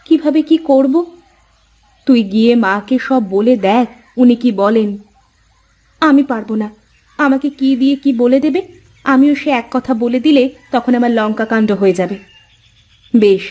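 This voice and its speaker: A woman as a high-voiced young village wife. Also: a little mellow, close to an everyday voice. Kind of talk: Bengali cartoon fairy-tale voice acting.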